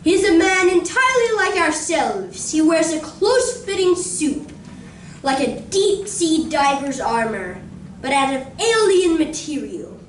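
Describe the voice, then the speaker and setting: Female narrator, acted live, grave and suspenseful radio play style, high voice, unhurried, echoing room. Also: big pitch swings, distant mic. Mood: solemn wonder. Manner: theatrical, suspenseful, with drawn-out dramatic pauses. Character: grave, awestruck female narrator of a science fiction story. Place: community room of a library, live performance. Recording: roomy live recording from afar, faint noise.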